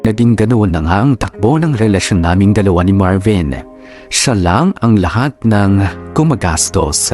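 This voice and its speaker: A man with a Filipino accent narrating a wife's sentimental love story in the first person, in a deliberate radio drama style. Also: on the low side, lively intonation, a little mellow, soft background music, close to an everyday voice. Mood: aggrieved, wistful. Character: aggrieved wife recounting her marriage.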